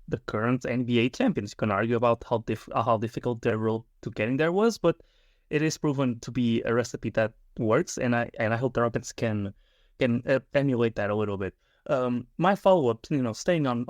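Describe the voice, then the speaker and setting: Gravelly-voiced male podcast co-host. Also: mid-range voice, dry recording, studio quality. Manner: rambling, many fillers and restarts. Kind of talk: podcast interview, sports chat.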